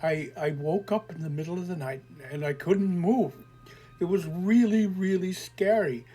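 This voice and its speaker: A man's voice: sits high, normal speed, mellow, husky, soft background music. A man reading an audio story, voicing a frightened teenage girl who insists she was abducted and pleads to be believed. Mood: shaken recounting. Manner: pleading, defensive, swearing in frustration.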